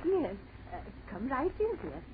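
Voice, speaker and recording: An actress playing an eccentric, evasive old aunt in a 1940s radio drama, fussy and repeating herself. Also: female voice, high voice, wildly swooping pitch, a little slow, phone-quality sound, heavily acted. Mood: fussing, hospitable. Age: older. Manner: fussy, repeats herself, brushes questions aside. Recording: scratchy, thin 1940s radio recording.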